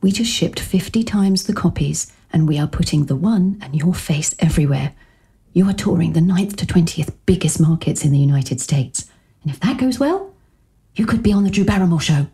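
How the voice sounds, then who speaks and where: An actress playing a pushy, upbeat new-age book agent with a breathy voice in an audio romantic comedy. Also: female voice, lowish voice, animated, moderate pace, mellow, exaggerated voice. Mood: persuasive. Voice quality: breathy, husky. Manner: persuasive, breezily insistent.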